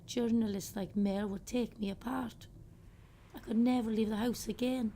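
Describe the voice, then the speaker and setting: An actress playing a disillusioned middle-aged social worker, wry and weary, in a radio play monologue. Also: female voice, on the high side, steady tone, normal speed, warm tone, slight background noise, close to an everyday voice. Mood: weary, bitter.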